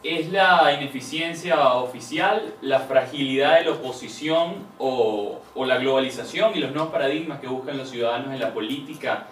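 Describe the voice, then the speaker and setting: Male audience questioner, formal wording, mid pitch, distant in a reverberant room. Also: normal speed, few pauses, fairly bright tone, slight background noise. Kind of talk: formal question at a public forum. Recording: echoey room, distant mic.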